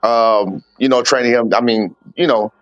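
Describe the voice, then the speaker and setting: Casting note: forties-age man, coach type, candid and blunt, mid-pitched, dry close sound. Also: normal speed, fairly bright tone, no room sound, muffled recording. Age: 40s. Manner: candid, blunt storytelling with slang and swearing. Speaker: boxing coach and father of a fighter. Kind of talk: casual podcast interview.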